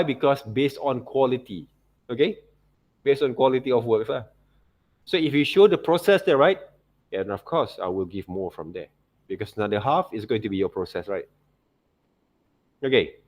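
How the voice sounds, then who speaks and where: A man's voice: mid-range voice, expressive, moderate pace, long pauses, darkish tone. Malaysian-accented man teaching a class. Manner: repeats tag words like okay and right. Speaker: art teacher. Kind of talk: informal software tutorial to students.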